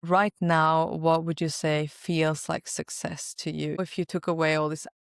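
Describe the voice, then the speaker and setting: A Swedish woman hosting a podcast, reading a scripted intro and asking gentle questions. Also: low voice, level tone, unhurried, vocal fry, clean tone, no room sound, clean studio sound. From Sweden. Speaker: podcast host. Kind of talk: podcast interview.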